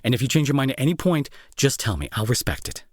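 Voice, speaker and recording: A young man playing a remorseful bully turned tender caretaker in an intimate audio drama, speaking softly and earnestly with a breathy, husky, hesitant delivery. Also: medium pitch, big pitch swings, fast talker, no room sound, studio quality. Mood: hesitant, grateful. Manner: soft, hesitant, earnest, reassuring.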